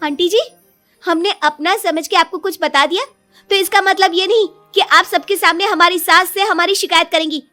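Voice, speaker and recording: A woman's voice: very high-pitched, steady tone, moderate pace, bright, forceful tone, faint music behind, voice pushed up. A woman voicing an indignant, argumentative young daughter-in-law in a storybook tale.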